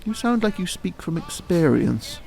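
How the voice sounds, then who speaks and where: A man acting a creaky, animated, teasing father. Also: sits high, exaggerated voice. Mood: probing, candid. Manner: smooth-talking, provocative, theatrical, unhurried. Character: worldly, teasing middle-aged father in adult industry. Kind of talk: audio drama acting.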